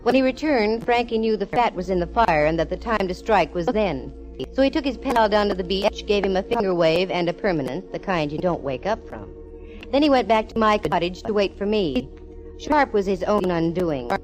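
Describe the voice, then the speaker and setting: A woman's voice: medium pitch, lively intonation, normal speed, a little mellow, lightly acted, poor audio. An American actress playing a wry, wisecracking, self-assured female private eye, narrating in 1940s radio detective style. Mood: brisk, knowing. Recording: old radio recording, faint organ music.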